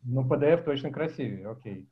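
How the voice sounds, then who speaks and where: A man's voice: mid-range voice, brisk. A man in his forties answering questions at a tech meetup over a video call from a small room at home, talking casually and animatedly. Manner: animated, gestures a lot, chatty and informal. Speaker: conference speaker answering questions. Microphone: laptop webcam mic on video call. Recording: slightly dull video call audio.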